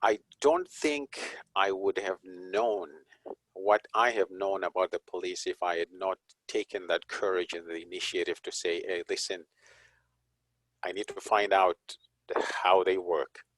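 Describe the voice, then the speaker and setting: A man from Zimbabwe, a police chaplain and guest, talking in an unhurried, reflective way with frequent chuckles. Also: sits low, fairly even intonation, a bit bright, no echo, rough recording. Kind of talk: conversational interview.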